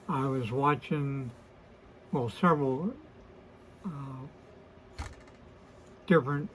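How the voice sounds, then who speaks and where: A man in his sixties with a hoarse, weakened voice, hosting a casual to-camera vlog and talking in a hesitant, apologetic way with many fillers and restarts. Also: mid-range voice, slight background noise, poor audio. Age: 60s. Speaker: host of a beard channel.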